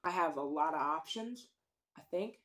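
A young man talks straight into his phone propped up in a small room full of windows. He is earnest and a bit rambling, and fills his pauses with ums and likes. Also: fairly high pitch, expressive, a bit bright. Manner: earnest, rambling, many fillers. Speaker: fishing vlogger. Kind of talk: casual to-camera chat. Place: small wooden room with many windows, indoors. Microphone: phone camera at desk distance.

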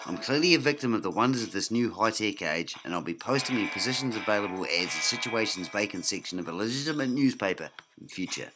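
A man with a New Zealand accent narrating a comic detective story in a dry, hard-boiled deadpan. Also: on the low side, fast, few pauses, poor audio. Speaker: narrator and lead comic actor. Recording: music playing behind voice. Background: music.

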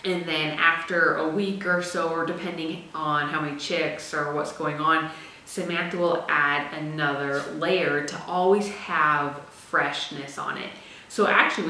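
Brief: young female farm vlogger, low voice, animated, recorded in a reverberant room. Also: far from the mic, a little hiss or hum. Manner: chatty, animated, talks with her hands. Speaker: farm video host. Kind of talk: casual explainer to camera. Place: small room indoors, seated at a laptop.

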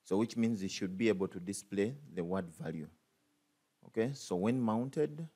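Low, mellow man talking through code. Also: normal speed. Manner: thinks aloud, many fillers and restarts. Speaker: programming tutor. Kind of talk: screen-recorded coding tutorial.

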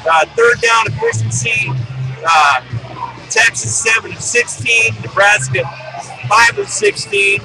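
High-pitched man, casual football commentary. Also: measured pace, music in the background, poor audio. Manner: blunt, colloquial, swearing fan. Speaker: sports show host. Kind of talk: casual post-game sports commentary.

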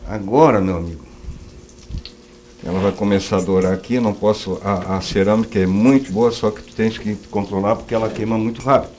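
A man's voice: lowish voice, steady tone, a little fast, darkish tone, a little hiss or hum. A middle-aged man hosts a cooking show and chats through each step as he cooks on a TV studio kitchen set. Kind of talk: casual chat while cooking.

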